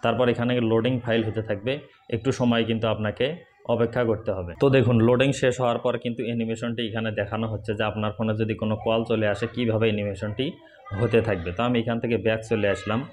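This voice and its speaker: A man's voice: fairly low pitch, flat delivery, fast talker, a little mellow, a little hiss or hum. A man hosting a tech tips channel, giving step-by-step phone instructions straight to viewers. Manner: addresses viewers directly, instructional. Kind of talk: step-by-step phone tutorial narration.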